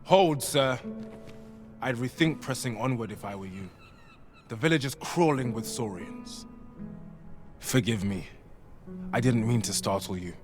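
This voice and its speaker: An actor playing a polite, earnest displaced villager in a fantasy video game, with courteous archaic phrasing. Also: male voice, mid-range voice, unhurried, pauses often, music in the background, lightly acted. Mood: cautious, apologetic.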